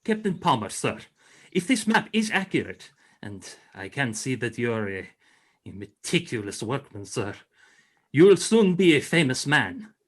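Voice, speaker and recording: A man's voice: mid-range voice, very animated, measured pace. A man playing a courtly Russian frigate commander in a radio play, formal, gracious and grand in manner. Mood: grave, courteous. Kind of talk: radio play acting, 1940s style.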